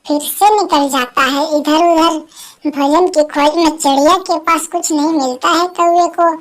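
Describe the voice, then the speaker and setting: A woman's voice: sits high, fairly even intonation, a little slow. A woman narrating an animal fable for children as a storyteller.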